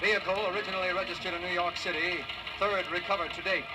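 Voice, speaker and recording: Male actor as American FBI agent, high voice, telegraphic delivery, official, old radio play, heavy engine-like noise. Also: fairly even intonation, fast, natural voice. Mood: brisk, official. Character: brisk male FBI field agent dictating a report. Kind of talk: 1940s radio acting. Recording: very noisy old radio recording, engine-like rumble.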